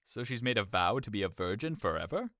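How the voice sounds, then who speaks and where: An earnest young cousin, voiced by an actor in an audio drama, coaxing and full of concern. His pitch rises and falls in a lively way, and the sound is dry and close. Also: brisk, dry recording. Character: caring young male cousin, earnest friend.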